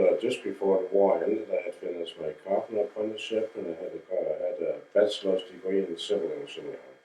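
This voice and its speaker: Older man from Denmark, very low voice, mellow tone, plain storyteller, roomy recording from afar. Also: recorded from a distance, highs cut off. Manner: slow, plain storytelling with restarts and self-corrections. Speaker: interviewee. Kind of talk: oral history recollection.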